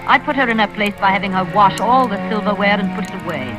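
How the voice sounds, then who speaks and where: A woman's voice: medium pitch, fast, music in the background, lightly acted. An actress playing a haughty, prim, wealthy old lady in a vintage radio drama. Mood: prim narrating. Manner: imperious, prim, scolding. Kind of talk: 1940s radio acting, first-person narration and dialogue.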